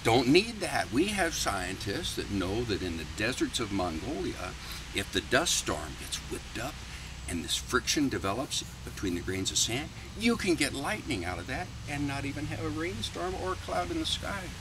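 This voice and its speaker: A man's voice: big pitch swings, normal speed, lightly acted. A man voicing a smug, evasive storybook neighbor who fakes sympathy and boasts in a folksy way. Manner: self-satisfied, falsely sympathetic, folksy. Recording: noisy, distant mic with background rumble.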